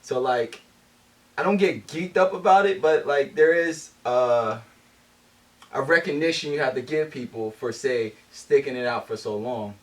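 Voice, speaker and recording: A man with a mid-pitched voice talks through his views in a relaxed group discussion, often posing questions to make his case. The mic sits some distance from him. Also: measured pace. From Jamaican heritage. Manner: thoughtful, builds arguments with questions. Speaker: podcast co-host.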